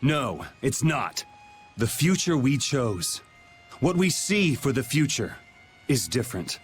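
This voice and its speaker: An actor playing a defiant young hero in a video game, blunt and determined. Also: male voice, medium pitch, very animated, takes it slowly, soft background music. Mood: firm. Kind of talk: dramatic video game voice acting.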